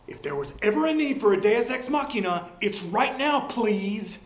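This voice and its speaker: Actor as wry, animated professor ghost in echoey room. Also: male voice, high-pitched, normal speed. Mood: exasperated. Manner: wry, rhetorical, theatrical phrasing. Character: ghost of a witty, wry male literature professor. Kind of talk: acted web series comedy-drama. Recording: roomy echo, clear background noise.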